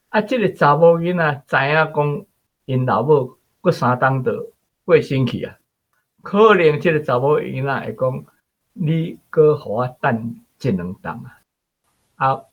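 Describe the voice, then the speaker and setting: Husky man chatting, slightly muffled. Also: a little high, lively intonation, unhurried. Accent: Taiwanese. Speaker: radio show guest or host discussing the story. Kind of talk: casual literary discussion.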